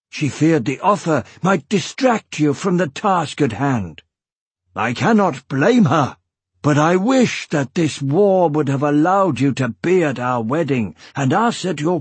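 A gruff dwarf clan chief for a fantasy audiobook. The voice is raspy and pushed up from a normal register, with big swings in pitch. He speaks earnestly, in formal, old-fashioned phrases, close and dry. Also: no room sound, exaggerated voice. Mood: warm regret. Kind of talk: audiobook character voice.

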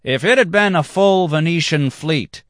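A man's voice: fairly high pitch, moderate pace, a bit bright, no echo, studio quality, voice pushed up, natural voice. A man voicing a drunken, sneering brawler.

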